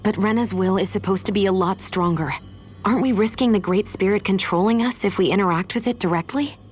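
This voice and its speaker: A woman's voice: mid-range voice, brisk, a little mellow, faint music behind, natural voice. A woman voicing a serious, matter-of-fact young warrior woman in a dramatic video game dub. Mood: concerned.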